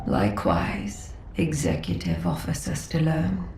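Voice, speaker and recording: Sickly dignified grand master lady, slow n low, formal, sci-fi game. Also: big pitch swings, echoey room, noisy background, full character voice. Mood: grave, weary. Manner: measured, formal, halting. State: unwell, coughing. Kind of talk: video game story dialogue.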